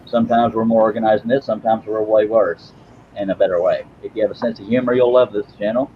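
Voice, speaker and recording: A man with a lowish voice hosts a casual workshop live stream, rambling along with self-deprecating asides and stumbling over his words. The recording sounds slightly dull, with a faint hum of noise behind him. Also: fairly even intonation, fast. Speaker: host of a woodworking live stream. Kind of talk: casual live-stream chat while working.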